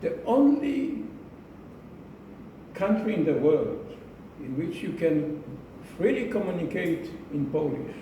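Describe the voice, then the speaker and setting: Male club speaker, deliberate and unhurried, repeats key phrases; recorded far from the mic in a noisy room. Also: a little high, big pitch swings, warm tone, heavy reverb. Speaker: club speaker giving a speech. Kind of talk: prepared club speech to a live audience.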